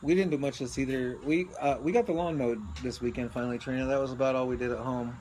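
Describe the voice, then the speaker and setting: A man chatting casually with viewers of a livestream from his backyard, telling deadpan tall tales. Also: mid-range voice, fast, slight background noise, low-quality recording. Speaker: livestream co-host. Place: backyard outdoors by a barbecue grill.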